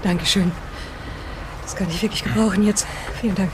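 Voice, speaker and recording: A woman's voice: mid-range voice, fairly even intonation, brisk, noisy background, natural voice. A woman playing a grateful, dazed castaway rescued from a storm, polite and hesitant, in an improvised audio drama.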